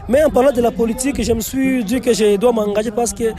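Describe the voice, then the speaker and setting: A man's voice: very high-pitched, fairly even intonation, fast. A young man from Goma with a Congolese French accent, an NGO director answering interview questions earnestly and repeating phrases for emphasis, outdoors on location. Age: young adult. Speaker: NGO director and youth political official. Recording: loud crowd noise behind.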